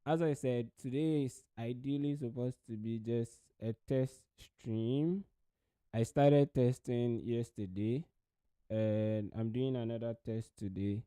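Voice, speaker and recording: A man's voice: medium pitch, dark tone, smooth, no echo. A man hosting a work-and-study livestream, greeting viewers by name and checking whether they can hear him. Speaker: livestream host. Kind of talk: casual livestream chat. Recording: music playing behind at first.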